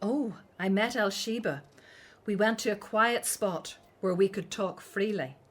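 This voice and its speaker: A woman's voice: medium pitch, a little slow, slightly rough. A woman playing a dutiful, outspoken grown daughter in an audio drama set in ancient Egypt. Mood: chatty, informative.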